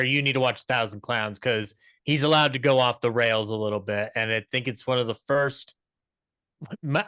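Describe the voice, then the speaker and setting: Young male podcast panelist, bright forward voice, dry close sound, chatty and enthusiastic. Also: medium pitch, dry recording. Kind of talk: conversational show commentary.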